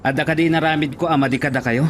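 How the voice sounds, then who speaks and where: A man's voice: on the high side, steady tone, very fast, fairly bright tone, slight background noise, lightly acted. A man playing a defiant, indignant challenger in an Ilocano audio drama.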